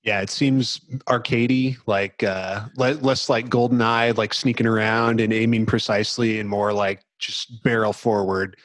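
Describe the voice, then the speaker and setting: A man's voice: fairly low pitch, level tone, normal speed, hardly any pauses, no echo. A man co-hosting a podcast, chatting casually and bantering about games. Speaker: podcast co-host.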